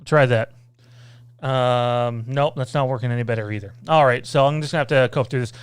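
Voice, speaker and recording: A man hosting a casual livestream Q&A, rambling loosely, reading out viewer comments and filling pauses with lots of "uh" and "um.". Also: medium pitch, fairly even intonation, clear, resonant tone, no room sound. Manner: rambling, reads out viewer comments, many filler sounds. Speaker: podcast host.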